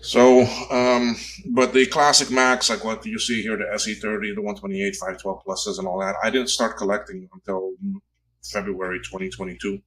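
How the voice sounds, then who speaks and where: A man's voice: fairly low pitch, flat delivery, normal speed, a bit bright, rough recording. A man in his fifties chatting casually on a livestream while repairing old computers, rambling and correcting himself as he works. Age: 50s. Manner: rambling, self-correcting, talks while tinkering.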